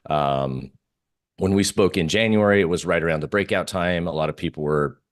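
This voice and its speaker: Very deep-voiced man talking markets. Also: normal speed. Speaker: interview guest, market analyst. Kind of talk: casual market commentary.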